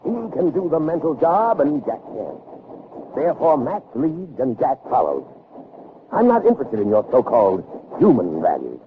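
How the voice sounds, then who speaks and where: An actor playing a proud, cold, middle-aged scientist, lecturing with emphatic authority in 1960s radio drama style. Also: male voice, mid-range voice, very animated, normal speed, a little hiss or hum, lightly acted. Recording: narrow, phone-like old radio recording.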